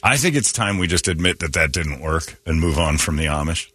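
Male morning radio host, blunt and mocking, forceful raspy delivery, close dry studio sound. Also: a bass voice, animated, a little fast, no echo. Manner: blunt, mocking, breaks into put-on voices. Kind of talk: comedic banter, riffing.

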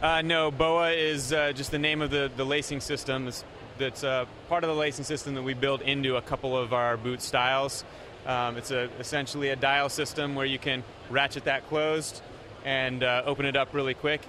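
A man representing a company, explaining product features in a steady way with frequent pauses. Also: mid-range voice, noisy background.

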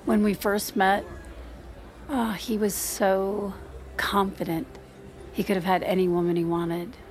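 A woman's voice: medium pitch, little pitch movement, measured pace, a little hiss or hum, close to an everyday voice. An actress playing a hesitant, candid working mother who speaks self-consciously, in a naturalistic audio drama. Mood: fond, reflective.

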